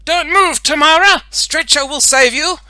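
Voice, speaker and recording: A woman performs a young boy as a pompous storybook superhero. He is high and loud, proclaiming every line with grand, urgent drama. The sound is bright and forceful. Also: unhurried, no echo, full character voice. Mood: urgent, heroic. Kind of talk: children's audiobook character voice.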